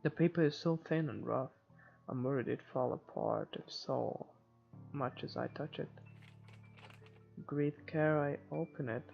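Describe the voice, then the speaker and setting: A man reading first-person horror game narration aloud in a dramatic way, with some halting stumbles. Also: mid-range voice, vocal fry, muffled recording. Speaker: gamer reading a visual novel aloud. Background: game music.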